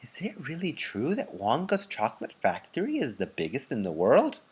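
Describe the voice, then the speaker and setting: A man's voice: mid-range voice, wildly swooping pitch, normal speed, breathy edge, pitched above the performer's own voice, full character voice. A man voicing a polite, curious little boy in a children's storybook, earnest and full of questions. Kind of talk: children's storybook read-aloud.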